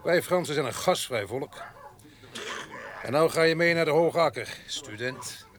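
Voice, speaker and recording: An actor playing a rough, wild, one-eyed farm boss in a radio play, gruff and mocking as he gives orders. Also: a little high, measured pace, a bit bright. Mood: gruffly calm. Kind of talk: Dutch radio drama acting. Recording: noisy outdoor farm sound effects behind.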